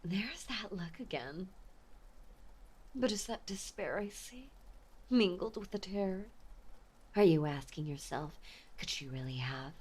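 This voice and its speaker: A woman playing an obsessive, possessive mafia heiress ex-lover in an intimate audio drama, speaking with menacing sweetness and often slipping toward a breathy whisper. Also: medium pitch, animated, measured pace, pauses often, a little mellow. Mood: soft threatening. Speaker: voice actor. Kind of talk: intimate dramatic roleplay monologue.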